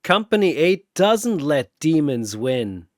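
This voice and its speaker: A man's voice: fairly high pitch, sing-song, slow, dry recording, studio quality, voice pushed up. A man voicing a cheerful, brash young male fire knight in an action story, bold and earnest.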